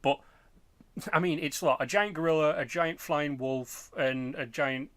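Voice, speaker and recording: A man chatting casually as a podcast host, rambling and halting, with loose swearing. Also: fairly high pitch, a bit bright, dry recording. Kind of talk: casual chat about game movies.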